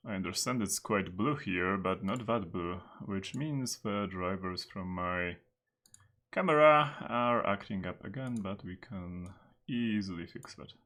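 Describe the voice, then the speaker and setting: A man with a very low voice hosts his livestream in an easygoing, rambling manner. His tone is bright and forceful despite a lingering cold, and he peppers his talk with filler words. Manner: relaxed and chatty, with frequent filler words. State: recovering from a cold, voice partly lost. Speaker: livestream host. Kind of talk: casual livestream chat.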